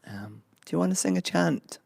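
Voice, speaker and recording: A slightly hoarse man, a singer and minister, talking reflectively between songs to a live audience with many fillers and restarts. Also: a little high, expressive, normal speed, dry recording. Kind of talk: relaxed talk between songs at a concert. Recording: guitar playing loudly behind voice. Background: guitar.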